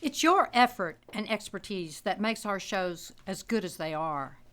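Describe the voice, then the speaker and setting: A woman's voice: medium pitch, animated, a little slow, a bit bright. A woman announcer delivering a scripted promotional appeal, inviting listeners in a community spot.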